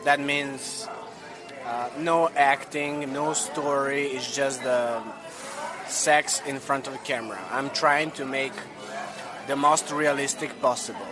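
A man's voice: medium pitch, fairly even intonation, takes it slowly, over music. A young man with a Serbian accent, speaking English carefully in a casual interview over lunch in a hotel restaurant. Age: young adult. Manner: careful, searching for words. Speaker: guest.

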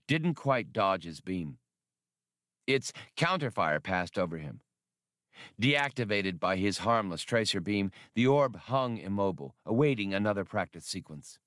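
A man's voice: on the low side, fairly bright tone, no echo, clean studio sound. A man reading fiction as an audiobook narrator, in scripted storytelling style.

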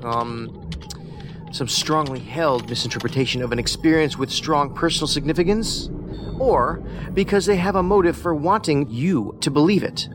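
An actor playing an eccentric, analytical federal investigator in an audio drama, wordy and dryly whimsical. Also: male voice, a little high, normal speed, background noise, close to an everyday voice. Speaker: federal agent, profiler.

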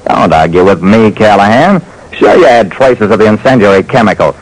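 A man's voice: on the low side, big pitch swings. A man playing a hard-boiled private detective, narrating his cases dramatically in an old-time radio show. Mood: weary, blunt. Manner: dramatic, deliberate. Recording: noisy old radio recording.

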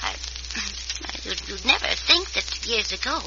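A woman's voice: medium pitch, very animated, a little slow, vocal fry. An actress playing a kindly, ailing older frontier woman in an old-time radio western. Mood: wistful. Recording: muffled, hissy old radio recording.

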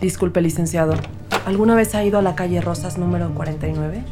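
A woman's voice: on the low side, fairly even intonation, quick pace, over music, close to an everyday voice. A woman playing an observant, empathetic rookie detective who narrates an audio drama in the first person. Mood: measured, reflective.

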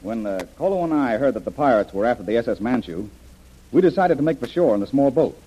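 An actor playing a confident, decisive adventure hero in an old-time radio drama, earnest and brisk. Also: male voice, medium pitch, sing-song, mellow, a little hiss or hum, close to an everyday voice. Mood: confident, explanatory. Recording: dull, band-limited vintage radio recording.

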